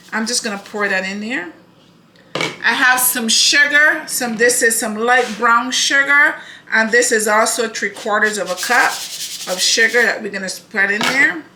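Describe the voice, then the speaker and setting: A woman from Barbados hosts a home cooking demonstration, talking to her viewers in a chatty, affectionate way and often checking in with them. Her rides on a bright, fairly high voice. The kitchen is echoey, and pots and dishes clatter in the background. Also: slight background noise. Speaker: cooking channel host.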